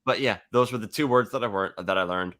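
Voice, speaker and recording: A man's voice: fairly low pitch, fast talker, a crowd in the background. An American man from California chatting casually as an online host, rambling and jokey, with profanity. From California, United States. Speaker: livestream host.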